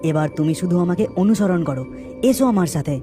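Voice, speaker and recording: Cartoon prince played by a woman, low voice, earnest, urging. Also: fast talker, mellow, lightly acted. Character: young prince, brave and hurried. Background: background music.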